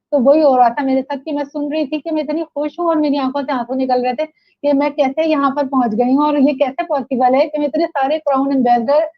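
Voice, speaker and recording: A woman's voice: high-pitched, no echo, lo-fi sound. A Pakistani woman speaking as an interview guest in an enthusiastic, motivational testimonial style, emphatic and repeating phrases for effect. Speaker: interview guest, direct-sales leader.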